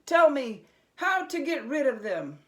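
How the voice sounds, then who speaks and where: A brave and clever storybook hero read by a woman, speaking slowly and with confidence. He sounds bold and challenging, setting out each phrase deliberately. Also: sits high, big pitch swings, pitched above the performer's own voice. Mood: bold, eager. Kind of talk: acted children's storybook reading.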